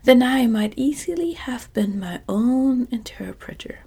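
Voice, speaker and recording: A woman softly whispering the lines of a pompous male scarecrow king in a storybook reading. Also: a little high, animated, a little slow, vocal fry, husky, heavily acted. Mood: fussy.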